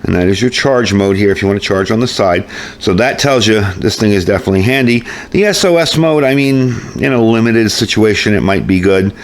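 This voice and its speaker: A man's voice: on the low side, a little fast. A man giving a casual, chatty product review with informal, folksy phrasing. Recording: clear background noise.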